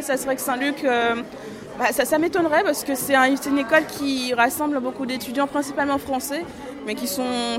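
A young woman in her twenties, an artist photographer, answering questions in a casual radio interview with many fillers and easy laughs. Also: sits high.

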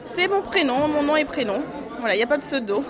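A woman's voice: sits high, expressive, fast, a crowd in the background. A young woman in her twenties, an artist photographer, answering questions in a casual radio interview with many fillers and easy laughs.

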